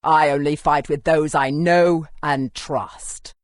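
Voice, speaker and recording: A woman voicing an aloof, composed, self-reliant warrior in a video game, speaking formally and in a measured way. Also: low-pitched, fairly even intonation, no echo.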